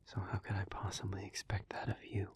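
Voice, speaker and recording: A man playing an earnest, guilt-ridden demon in love, speaking in a hushed, breathy, halting voice in an intimate audio roleplay. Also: deep voice, completely flat delivery, lightly acted. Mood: earnest, resigned. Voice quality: breathy, husky, whispery. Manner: slow, halting, hushed delivery with pauses.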